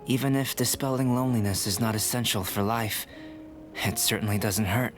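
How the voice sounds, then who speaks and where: A man playing a calm young alchemist scholar in an anime-style video game, speaking in a measured, formal, reflective way. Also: fairly low pitch, faint music behind, close to an everyday voice. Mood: grateful.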